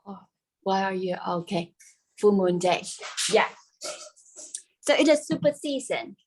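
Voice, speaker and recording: A woman with a central Vietnamese accent leads an informal cooking class. She is a native Vietnamese speaker and uses simple, non-native phrasing that drops small words. Her voice sits at a middle pitch. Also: lively intonation, unhurried. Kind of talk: informal live cooking instruction.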